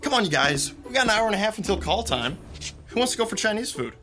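A man's voice: sits high, big pitch swings, fast, sharp tone, faint music behind, exaggerated voice. A man acting a friendly, chatty theatre techie telling anecdotes in an audio drama. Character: Rick, chatty friendly young male theatre techie storyteller.

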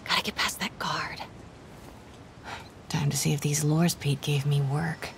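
An actress playing a bold, daring young female journalist who talks briskly and goes hushed when sneaking. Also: low-pitched, fairly even intonation, gravelly, a little hiss or hum. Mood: sneaky.

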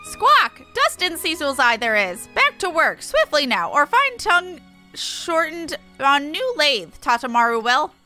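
Woman doing a squawky, excitable birdlike beastman. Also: high-pitched, unhurried, soft background music, voice pushed up, heavily acted. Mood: brisk, bossy. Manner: squawking, inverted word order, emphatic. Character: excitable squawking birdlike beastman mentor's disciple, inverted speech.

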